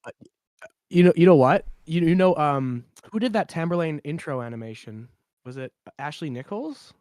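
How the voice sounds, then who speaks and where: A man chatting casually in a halting, excitable manner. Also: on the high side, no echo, studio quality. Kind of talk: casual chat.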